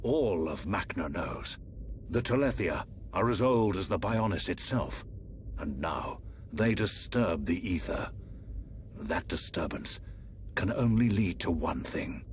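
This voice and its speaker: A low, grave voice of an aging fantasy ruler for a video game. He speaks formally and with measured weight, like a monarch addressing a guest. There is audible noise behind him. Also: animated. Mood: solemn. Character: solemn aging emperor, stately fantasy ruler and father. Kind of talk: dramatic video game voice acting.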